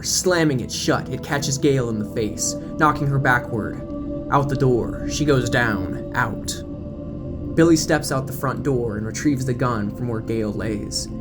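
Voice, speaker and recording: A man giving dramatic scripted narration as the narrator of a horror audio drama. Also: mid-range voice.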